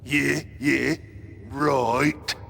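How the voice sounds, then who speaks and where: A man playing a dim, doubtful ork underling in a video game cutscene, croaking and grumbling in a Cockney accent. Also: mid-range voice, flat delivery, very slow, fairly bright tone, full character voice. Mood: confused. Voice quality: very gravelly, croaking. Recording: noisy game battle ambience.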